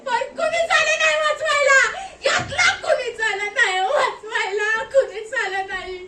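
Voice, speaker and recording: A woman's voice: very high voice, unhurried, sharp tone, exaggerated voice. A woman playing an anguished, defiant young acid attack survivor in a melodramatic, tearful theatrical monologue, repeating her phrases. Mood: anguished, accusing. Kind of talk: theatrical one-act stage monologue. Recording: echoey room, distant mic, noisy.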